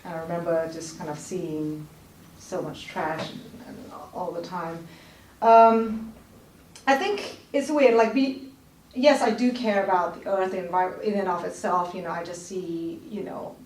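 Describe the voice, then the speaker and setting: A woman's voice: lowish voice, fairly even intonation, unhurried, a little mellow, distant mic. A woman who grew up in Thailand, talking casually in a panel conversation, with lots of "you know" and filler words. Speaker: resident guest. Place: TV studio. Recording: roomy studio heard from off-mic, faint noise.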